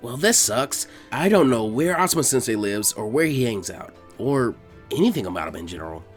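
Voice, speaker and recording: Guy doing a scrappy kid ninja from a story, restless and grumbly, quick talker. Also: mid-range voice, sing-song, faint music behind, voice pushed down, natural voice. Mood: grumbling. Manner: grumbling, informal. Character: young boy ninja, brash, restless, self-critical.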